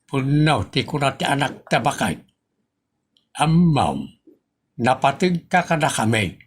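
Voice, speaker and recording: A man with a northern Philippine accent acting an exasperated husband and father in a comedy audio drama, scolding deliberately and emphatically. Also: mid-range voice, sing-song, slightly rough. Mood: admonishing.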